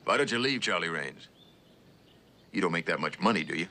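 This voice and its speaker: Tough terse lawman, super deep creaky voice, clipped lines, old tv western. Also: expressive, fairly quick, bright, forceful tone, slight background noise, exaggerated voice. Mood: probing, cool. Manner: clipped, laconic. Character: terse, tough lawman, world-weary but loyal. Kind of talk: understated mid-century screen acting.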